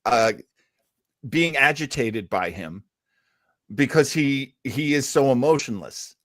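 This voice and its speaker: A man's voice: medium pitch, expressive, takes it slowly, dry recording. A man hosting a casual talk show discussion, emphatic and given to repeating phrases to make his point. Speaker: podcast host.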